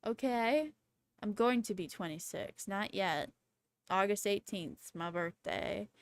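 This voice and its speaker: A woman in her twenties chatting casually on a livestream in a rambling, playful, excitable and slangy way. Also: medium pitch, slow pace, no room sound. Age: 20s. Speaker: livestreamer, VTuber host.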